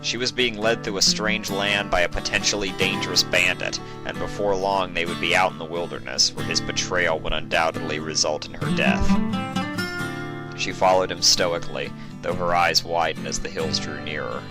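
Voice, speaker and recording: Very deep-voiced male story narrator. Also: fairly even intonation, normal speed. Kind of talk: scripted narration of western fan fiction. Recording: music behind the voice.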